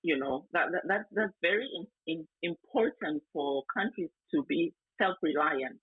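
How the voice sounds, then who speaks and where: A man from South Sudan speaks earnestly on an online panel, sometimes repeating words as he looks for the next one. His voice is husky and breathy. The sound is thin and phone-like, with no room echo. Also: a little high, normal speed. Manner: earnest, repeats words while searching for them. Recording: tinny phone-like call audio.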